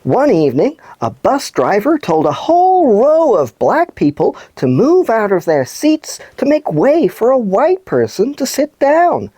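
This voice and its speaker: A woman reading scripted stories and segments for children as a show presenter, with expressive, deliberate delivery. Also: fairly high pitch, husky, no echo.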